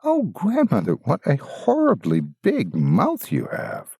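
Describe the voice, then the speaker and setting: A man's voice: mid-range voice, wildly swooping pitch, slow pace, vocal fry, dark tone, husky, no echo, studio quality, pitched above the performer's own voice, exaggerated voice. A man voicing an innocent, trusting little girl in a fairytale, earnest and wide-eyed. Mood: amazed. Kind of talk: fairytale storybook reading.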